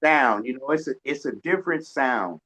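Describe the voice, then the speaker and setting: A man's voice: mid-range voice, expressive, normal speed, pushed and bright. A man co-hosting a radio show, chatting casually and telling anecdotes in a rambling, folksy way. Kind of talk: casual conversational interview, anecdotes. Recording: dull, lo-fi audio.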